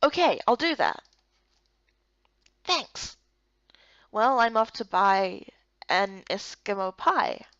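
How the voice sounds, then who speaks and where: Young female narrator-heroine for a visual novel, lively and theatrical, highly varied intonation. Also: slow pace, long pauses, dry recording, muffled recording. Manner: animated, theatrical. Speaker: voice actor narrating and playing the heroine. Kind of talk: expressive dramatized reading of a visual novel game.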